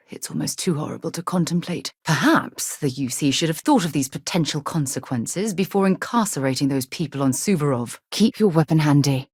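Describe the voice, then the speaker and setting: An actress playing an earnest, principled woman space explorer and leader in a video game, with a crisp, articulate, slightly husky delivery. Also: deep voice, moderate pace, a little mellow, no echo, clean studio sound. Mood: concerned, firm. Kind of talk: scripted game dialogue.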